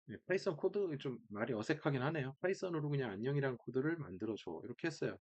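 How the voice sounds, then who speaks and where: A man's voice: medium pitch, animated, quick pace, a little mellow, slightly rough. A man presenting an informal screen-share tutorial, thinking aloud in short fragments and repeating key words, recorded with dull sound. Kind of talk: informal screen-share demo, talking through steps.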